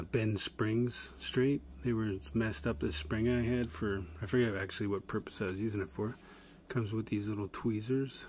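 A lower-voiced man talks through a pocket knife in a casual demo, rambling easily with little chuckles and fillers. He sits indoors at a table with the camera close by. Clear background noise and clicks from handling the tools run under his voice. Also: a little mellow. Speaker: channel host reviewing a pocket knife. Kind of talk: casual product demonstration.

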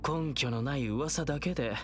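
An actor playing a taunting, playful and menacing young male villain in a video game, with a theatrical, teasing, drawn-out delivery. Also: mid-range voice, faint music behind, natural voice.

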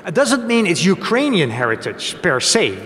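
A man's voice: sits high, wildly swooping pitch, normal speed, a bit bright. Dutch-accented man explaining in an echoey hall. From Netherlands. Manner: explains with many hesitations and tag questions, gestures a lot. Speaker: guest. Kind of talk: onstage talk show conversation. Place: small gallery stage before an audience. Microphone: handheld mic. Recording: echoey hall with long reverb.

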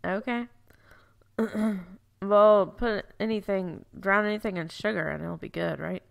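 A woman talks through her gameplay in a relaxed, chatty way, often correcting herself mid-sentence. Her voice sounds a little stuffed up and carries gravelly fry under an otherwise smooth, even tone. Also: medium pitch, unhurried. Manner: chatty, self-correcting, thinking aloud. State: stuffy, congested. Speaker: let's play host. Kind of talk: casual gameplay commentary.

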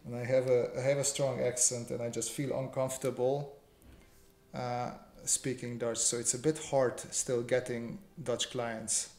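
A man's voice: mid-range voice, flat delivery, unhurried, a little mellow. Man streaming in an echoey room. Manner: informal, reads viewer questions aloud and answers. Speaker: livestream host, illustrator. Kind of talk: casual livestream chat while drawing. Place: small indoor home room. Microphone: desk microphone on improvised stand. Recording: roomy echo, faint background noise.

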